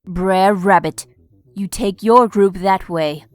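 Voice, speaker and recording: A woman playing a bossy militant revolutionary in a comic dub, authoritative and brisk. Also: mid-range voice, no room sound, natural voice. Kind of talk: comic-book dub acting.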